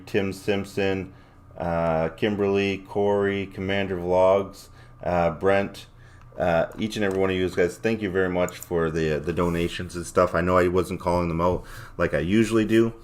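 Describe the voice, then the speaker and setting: A man's voice: low-pitched, steady tone, moderate pace. A man hosting a casual livestream in a rambling, blunt, profane way, his voice a little worn as if he is losing it. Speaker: livestream host. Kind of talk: casual livestream sign-off chat, reading chat comments aloud.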